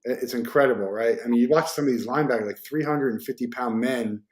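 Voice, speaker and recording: A man's voice: medium pitch, quick pace, darkish tone, roomy sound. A man in his forties hosting a casual interview, asking rambling, easygoing questions with hesitations. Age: 40s. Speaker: host.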